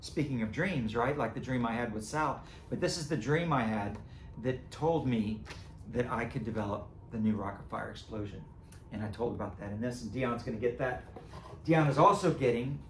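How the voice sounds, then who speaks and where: Hoarse man chatting in an echoey room. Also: medium pitch, slight background noise. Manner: rambling, chuckling, self-correcting. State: losing his voice, cracking. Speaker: creator and seller of animatronic band items. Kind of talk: casual unboxing vlog chat.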